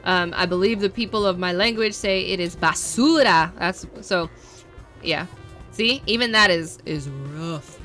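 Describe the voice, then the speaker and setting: A playful woman streamer who chats with her viewers and laughs at her own mistakes. Her voice is bright and crisp, sitting mid-range. Faint music plays underneath her talk. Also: on the low side, a little slow. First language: English. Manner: playful, chatty, self-deprecating. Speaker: variety streamer. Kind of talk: casual live-stream chat.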